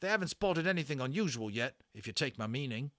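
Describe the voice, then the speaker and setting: A man's voice: medium pitch, lively intonation, quick pace, a bit bright, no room sound, clean studio sound, voice pushed up, close to an everyday voice. A man voicing a tiny army corporal from a storybook, deferential but grumbling. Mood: brisk, cautioning. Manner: clipped military, exclaiming, respectful to superiors.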